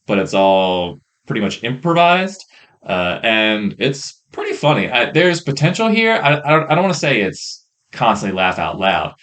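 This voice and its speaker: A man co-hosting a podcast, chatting casually in a rambling, hesitant way about what he has watched, on a rough microphone. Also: medium pitch, very animated, normal speed, a bit bright. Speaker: podcast co-host reviewing films and shows. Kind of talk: casual chat. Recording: rough podcast mic audio.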